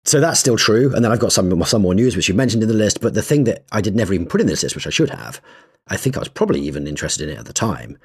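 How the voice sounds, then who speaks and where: A man with a very low, husky voice, chatting as a podcast co-host. He talks in animated bursts, restarting sentences and leaning hard on key words. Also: very fast. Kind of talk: casual chat.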